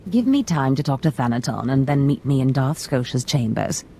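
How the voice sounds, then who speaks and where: A scheming dark lady from a video game, playful and falsely innocent. Her voice is low and mellow, with lively, theatrical swings and polite, honeyed phrasing. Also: normal speed, slight background noise. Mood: pleased, conspiratorial.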